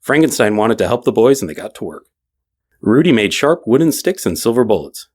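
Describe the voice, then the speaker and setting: Low-pitched male storyteller. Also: big pitch swings, brisk, a little mellow. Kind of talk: scripted bedtime story narration.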